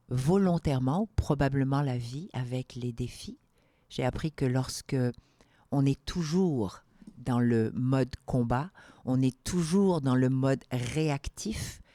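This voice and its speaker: An older woman from Morocco and Algeria, speaking as an interview guest in a calm, measured way with emphatic repetitions. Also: very deep voice, no room sound, clean studio sound. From born in Morocco, grew up in Algeria. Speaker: guest, entrepreneur. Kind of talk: reflective interview talk.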